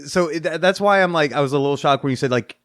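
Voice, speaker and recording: A man's voice: fairly high pitch, fast, clean tone, dry recording, studio quality. A man in his thirties hosting a podcast, chatting casually with a guest, cursing offhandedly and laughing easily. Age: 30s. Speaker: podcast host. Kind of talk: casual interview chat.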